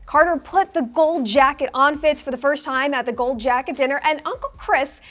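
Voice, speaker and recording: High-voiced woman co-hosting a sports show. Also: moderate pace, soft background music. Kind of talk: scripted sports show presenting.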